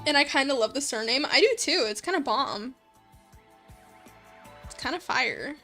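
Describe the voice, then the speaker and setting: High, bright-voiced giggly woman livestreamer. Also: lively intonation, moderate pace. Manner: giggly, gushing, slangy. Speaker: livestreamer, host. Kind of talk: casual livestream chat with viewers. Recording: background music behind voice. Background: light background music.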